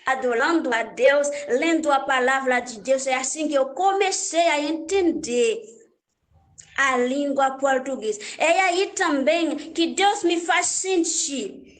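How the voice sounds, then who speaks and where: Young Haitian woman, Haitian accent, high and unhurried; halting storyteller who repeats phrases. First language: Haitian Creole. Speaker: church worship minister. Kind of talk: personal life story.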